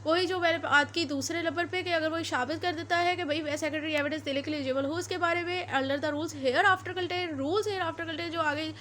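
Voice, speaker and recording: A woman's voice: extremely high pitch, steady tone, moderate pace, hardly any pauses, fairly bright tone, a little hiss or hum. A woman with a Pakistani accent giving an explanatory law lecture, repeating and rephrasing her points as she goes. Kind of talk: explanatory lecture mixing Urdu and English.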